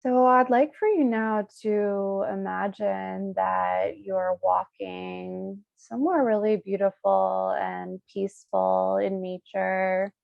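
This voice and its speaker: Slow, gravelly-edged woman guiding meditation. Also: mid-range voice, fairly even intonation, dry recording, muffled recording. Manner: soothing, unhurried, pausing between short phrases. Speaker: Reiki practitioner leading guided meditation. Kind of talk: guided meditation narration.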